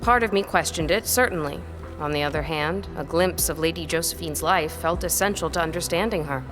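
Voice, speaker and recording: Actress as female tutor, didactic, courteous, measured, slightly low voice, audio drama, soft music underneath. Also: lightly acted. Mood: reflective. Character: earnest, composed, courteous lady tutor.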